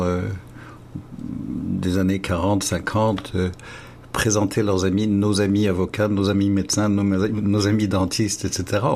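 A man's voice: low voice, darkish tone, slight background noise. A man interviewing a guest conversationally, in a hesitant way that often repeats words. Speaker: interviewer, host.

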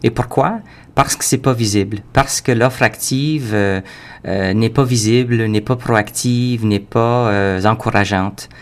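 A man's voice: fairly low pitch, a little slow. Hesitant man in a panel discussion. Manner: hesitant, many fillers. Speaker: executive director guest.

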